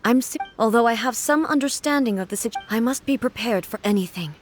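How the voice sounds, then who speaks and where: An actress playing a calm, dignified female ruler in a video game, formal and measured, with game music behind. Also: fairly high pitch, heavy background noise, close to an everyday voice. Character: regal composed female ruler, calm and reflective.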